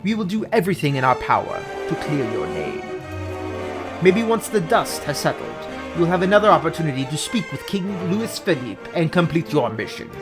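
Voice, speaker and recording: A man voicing a sensible, dutiful falcon lawyer with a measured, dry and mildly exasperated manner. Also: on the high side, very animated, music in the background. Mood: reassuring, dutiful.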